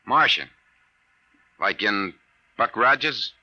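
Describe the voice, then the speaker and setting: Forceful, low-voiced wisecracking private eye. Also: male voice, lively intonation, heavily acted. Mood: incredulous. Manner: brisk, sardonic, bossy. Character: wisecracking, skeptical hard-boiled male private detective. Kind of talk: 1950s radio acting. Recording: muffled vintage 1950s radio recording.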